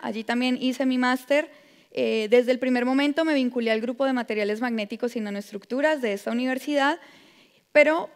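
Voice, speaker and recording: A young adult woman from Colombia with a Colombian Spanish accent, giving a measured conference talk as a predoctoral researcher, with frequent filler sounds. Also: sits high, smooth. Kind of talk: conference presentation. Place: large lecture hall. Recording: long hall reverb.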